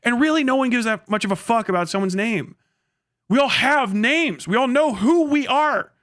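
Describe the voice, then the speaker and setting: A man's voice: very high voice, expressive, moderate pace, a bit bright, no echo, clean studio sound. A man livestreaming a casual rant in a blunt, sarcastic, heavily profane way. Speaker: live streamer.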